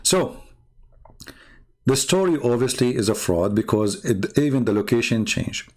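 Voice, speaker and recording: A man's voice: mid-range voice, animated, measured pace, darkish tone. A man with an Arabic accent, a native Arabic speaker, giving informal, argumentative religious commentary in a mocking, incredulous manner full of rhetorical questions. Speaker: host of a religious commentary show. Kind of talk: informal polemical commentary on read-out texts.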